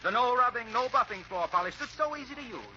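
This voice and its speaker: A man's voice: very high-pitched, lively intonation, quick pace, pushed and bright, exaggerated voice. A man playing an eager, salesmanlike party guest on old radio, speaking in a polished, theatrical storytelling way. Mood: selling. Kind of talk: 1940s radio storytelling and sponsor pitch. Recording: muffled, noisy vintage radio recording.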